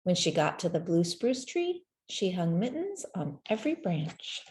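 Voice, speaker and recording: A woman reading a children's story aloud in a gentle, measured way, with deliberate pauses between phrases. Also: fairly low pitch, big pitch swings, slight background noise. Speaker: storybook reader. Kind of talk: scripted children's story narration, read aloud.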